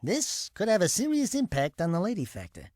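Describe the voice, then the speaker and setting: An actor playing a small, cocky animal sidekick in a video game, sarcastic, whiny and theatrically mouthy. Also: male voice, high voice, wildly swooping pitch, fairly quick, darkish tone, no room sound, studio quality. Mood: indignant, whiny. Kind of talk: cartoonish video game acting.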